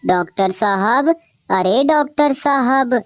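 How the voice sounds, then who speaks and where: A woman doing a fretful mother bird, high smooth voice, slow, dry. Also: lively intonation, no room sound. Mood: calling. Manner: emotional, wailing, scolding. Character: female bird, caring foster mother. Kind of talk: cartoon voice acting.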